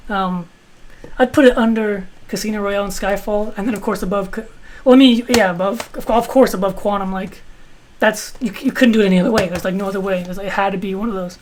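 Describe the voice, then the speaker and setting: A man in his twenties with a high-pitched voice, reviewing his film collection in a rambling, casual way with some swearing. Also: fairly even intonation, quick pace, darkish tone, slight background noise, low-quality recording. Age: 20s. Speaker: video host.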